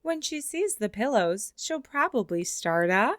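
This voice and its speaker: Woman, children's book read-aloud, expressive, slows for the ending lines, fairly high voice, dry close sound. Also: no echo. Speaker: storybook reader.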